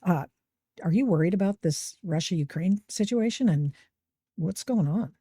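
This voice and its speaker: A middle-aged woman hosts a podcast in casual conversation, her voice low and mellow. She talks emphatically and often restarts her sentences. The sound is dry and close. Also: expressive, a little slow, breathy edge, no room sound. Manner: chatty, many restarts, emphatic. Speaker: podcast host. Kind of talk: casual interview chat.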